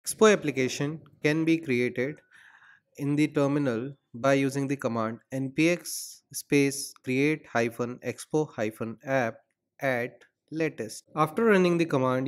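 A man hosting a screen-recorded coding tutorial, explaining steps and spelling out terminal commands. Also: medium pitch. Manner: steady, instructional, spells out commands.

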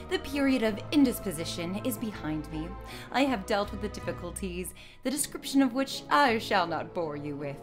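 Woman acting a haughty passive-aggressive sorceress reading a letter, formal, highish, super expressive, music behind. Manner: measured, formal, pointedly polite.

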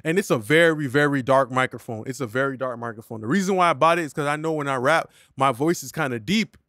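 A man's voice: medium pitch, fast talker, pushed and bright, no room sound. A young man teaching vocal mixing in a casual, slangy, rambling way, talking into a studio condenser mic in his home studio. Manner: loose, slangy, rambling explanations. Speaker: mixing engineer and music teacher. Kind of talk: casual tutorial talk. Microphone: studio condenser microphone.

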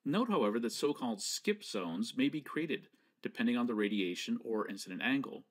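Man, medium pitch, educational presenter, scripted narration, measured, didactic, precise enunciation, varied intonation. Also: animated.

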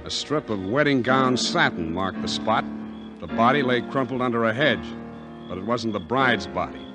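An American actor playing a tough, wisecracking private detective, wry and blunt, in a 1940s radio drama. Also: male voice, mid-range voice, lively intonation, moderate pace, natural voice. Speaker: lead actor and narrator. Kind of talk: 1940s hard-boiled detective radio acting and narration. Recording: old 1940s radio recording, music behind.

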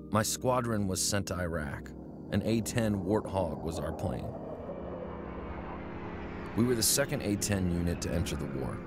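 A man earnestly narrating his own life story in first person for a radio drama. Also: sits low. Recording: music bed under the voice.

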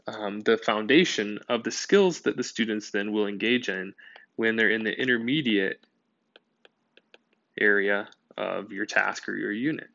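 A male teacher narrating a whiteboard lesson in a steady, explanatory way. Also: medium pitch, creaky, no room sound, highs cut off.

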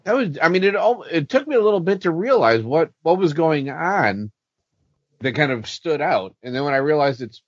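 A man's voice: medium pitch, no echo. Male panelist, mid-range voice, informal and conversational, lo-fi audio. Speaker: podcast panelist. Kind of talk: casual chat, informal commentary. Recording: dull, lo-fi close recording.

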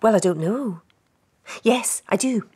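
A woman's voice: medium pitch, expressive, slow pace, dark tone, husky. A woman acting in a radio drama as a defensive, gossipy stage actress being questioned, speaking expressively. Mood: confiding, gossipy.

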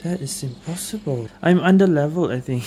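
A woman's voice: deep voice, fairly quick, mellow, a little hiss or hum. A woman giving casual gameplay commentary, thinking aloud in short reactive phrases. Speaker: video game streamer. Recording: game music behind the voice.

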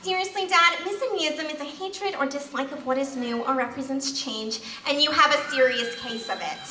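A woman's voice: sits high, normal speed, sharp tone. A woman playing a pedantic, bookish girl who recites big words in a live radio-show performance. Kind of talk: live old-time radio show acting. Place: stage in a church hall. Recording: echoey hall from far off, music behind.

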